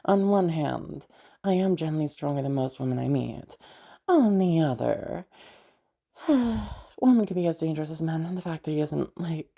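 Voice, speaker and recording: A woman playing a protective, easygoing big sister in an intimate audio roleplay, speaking in a halting, reassuring way with sighs and casual swearing. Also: sits low, expressive, creaky, mellow, heavily acted. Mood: teasing, reassuring. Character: protective, mysterious, easygoing big sister escorting a new acquaintance.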